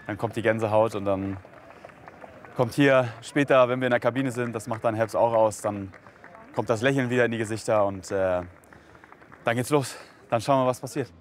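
A man's voice: mid-range voice, normal speed. Man interviewed outdoors, faint traffic noise. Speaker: amateur football player, interviewee. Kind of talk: spontaneous interview answers.

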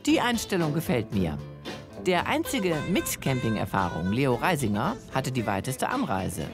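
Low-voiced woman hosting a travel show. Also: wildly swooping pitch, normal speed. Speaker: host. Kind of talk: reality travel show narration. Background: music.

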